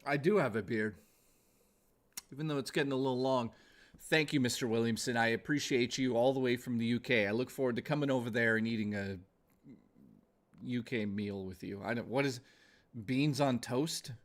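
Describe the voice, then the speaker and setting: A man's voice: mid-range voice, normal speed. A man in his forties chatting casually to viewers as a gaming livestreamer, informal and exclamatory, with some swearing. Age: 40s.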